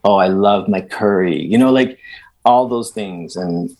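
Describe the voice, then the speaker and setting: A middle-aged man from El Salvador, a guest in a conversational interview, talking in an animated way with frequent restarts and emphatic asides. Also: on the low side, measured pace.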